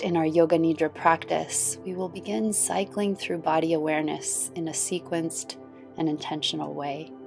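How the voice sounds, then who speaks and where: A woman guiding a yoga nidra meditation in a soothing, gentle, unhurried manner. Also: deep voice, gravelly. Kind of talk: guided meditation. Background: background music.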